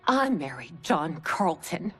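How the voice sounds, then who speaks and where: An actress playing the wistful, disappointed wife of a film star in a video game cutscene. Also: female voice, lowish voice, very animated, takes it slowly, over music. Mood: sad, regretful.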